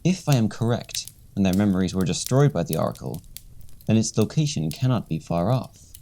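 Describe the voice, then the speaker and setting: An actor playing a cool, all-seeing villain strategist in a radio play, speaking in formal, measured phrases. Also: deep voice, big pitch swings, creaky, dark tone, exaggerated voice. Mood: solemn, scheming.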